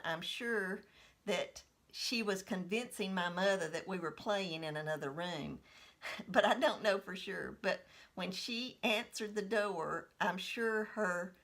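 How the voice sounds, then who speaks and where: A woman in her sixties telling a personal childhood story in an unhurried, reminiscing manner. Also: lowish voice, a bit bright. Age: 60s.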